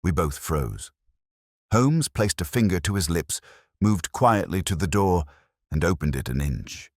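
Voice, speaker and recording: A man narrating a detective story in a dramatic, measured and suspenseful way. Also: low-pitched, expressive, dry recording, studio quality. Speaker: audiobook narrator.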